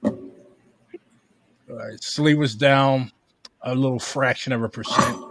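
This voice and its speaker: A man's voice: mid-range voice, steady tone, slow, a bit bright, low-quality recording. A man casually reading out election returns to friends and cracking crude jokes. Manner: crude, wisecracking. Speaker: watch party co-host. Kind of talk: casual commentary on results.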